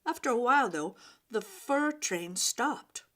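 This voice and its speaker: A woman reading a children's story aloud in an expressive, dramatic way, with pauses and emphasis for young listeners. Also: fairly high pitch.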